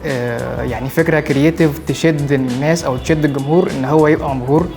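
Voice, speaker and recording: A man's voice: fairly high pitch, fairly even intonation, fast, darkish tone. A 27-year-old man with an Egyptian accent, talking enthusiastically with filler sounds in a testimonial. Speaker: intern. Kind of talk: testimonial interview. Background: music.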